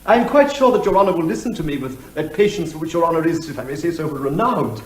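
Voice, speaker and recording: Actor as an oily English barrister, swooping, quick-talking. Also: male voice, a little high, lively intonation, close to an everyday voice. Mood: obsequious. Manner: oily, over-polite, circumlocutory. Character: fawning, long-winded, obsequious male barrister. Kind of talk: British comic radio drama acting. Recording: roomy, echoing courtroom-like sound.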